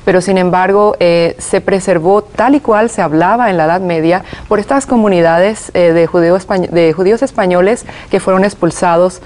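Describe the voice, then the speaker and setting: A woman musician speaks as a guest in a television interview, her voice somewhat low. She explains things at length, restarting phrases and filling pauses with "eh". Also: a little mellow. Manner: explanatory, with many "eh" fillers and restarts.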